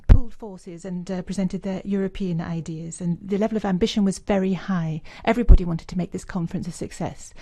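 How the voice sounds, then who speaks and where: A woman interpreting live, dark and hesitant. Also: mid-range voice. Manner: hesitant, many fillers while keeping up with the speaker. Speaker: simultaneous interpreter. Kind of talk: live interpretation of citizen speeches.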